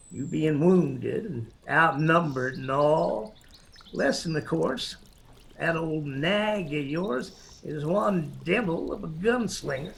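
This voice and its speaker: A man's voice: a little high, creaky, a bit bright, slight background noise, heavily acted. An actor in a radio drama playing a taunting, menacing backwoods Confederate militiaman with an Appalachian accent. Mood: gloating, taunting. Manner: mocking, drawn-out threats.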